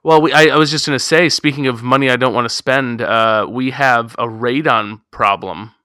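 A man's voice: medium pitch, normal speed, bright, forceful tone, smooth, no echo, studio quality. A man in his thirties on a casual podcast chat, rambling and self-deprecating, recording in his home garage. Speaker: podcast co-host.

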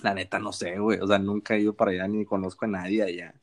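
A young man from Sinaloa with a Sinaloan Mexican accent, co-hosting a podcast and telling stories in relaxed, slangy chat. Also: lowish voice, quick pace. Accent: Sinaloan Mexican Spanish. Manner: relaxed storytelling, frequent slang fillers. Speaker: podcast co-host. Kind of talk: casual chat.

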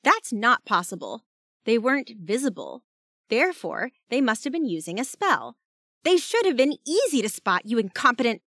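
A woman voicing a frustrated young woman hacker in an audiobook, sharp and indignant. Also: a little high, normal speed, hardly any pauses, smooth, no echo, clean studio sound. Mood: accusing.

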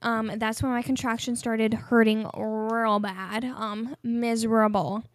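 Young female co-host, twenties, frank and chatty, slightly high voice. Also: flat delivery, slow, no room sound. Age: 20s. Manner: candid, laughing, chatty storytelling. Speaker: podcast co-host. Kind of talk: casual chat.

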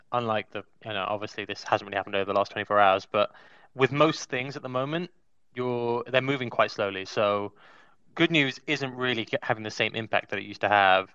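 Male panelist, crisp and quick, explaining things casually over slightly dull, dry audio. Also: mid-range voice, pushed and bright, dry recording. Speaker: panelist on a crypto talk show. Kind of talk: casual analytical commentary.

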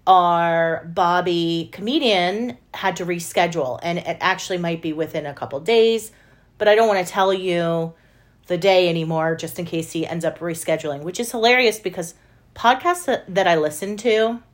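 A woman's voice: lowish voice, moderate pace. A woman co-hosting a podcast in a casual, playful, exclamatory way. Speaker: podcast co-host. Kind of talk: casual chat.

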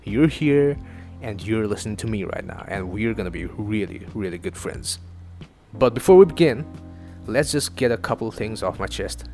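A man's voice: on the low side, animated, moderate pace, darkish tone, faint music behind. A young man from Northeast India whose first language is Assamese, hosting his own podcast in a chatty, loose monologue full of swearing and self-mocking asides. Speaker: podcast host. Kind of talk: casual solo podcast monologue. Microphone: home studio mic.

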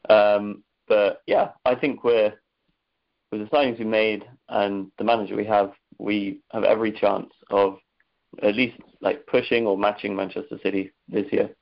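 Irish man, low flat voice, dry and measured, muffled sound. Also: gravelly. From Ireland, Dublin. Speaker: podcast guest. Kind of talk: casual podcast chat.

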